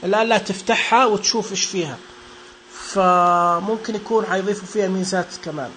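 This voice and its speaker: A man's voice: high-pitched, fast, sharp tone, slight background noise. A young man casually walking through phone accessibility settings, chatty and joking, echoing the screen reader's words. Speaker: tech reviewer demonstrating phone accessibility settings. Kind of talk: casual spoken walkthrough.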